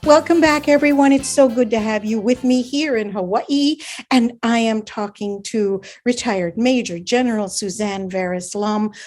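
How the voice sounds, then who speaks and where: A woman's voice: on the high side, normal speed, a little mellow, faint music behind. A woman hosting a radio interview show from Hawaii, speaking with enthusiastic, emphatic praise for her guest.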